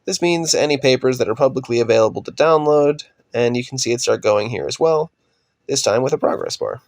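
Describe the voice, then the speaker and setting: A young man with a voice raspy from a cold, steadily narrating a slide presentation on his undergraduate research project. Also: mid-range voice, a little fast, no room sound, studio quality. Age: young adult. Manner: steady, explanatory, trails off at sentence ends.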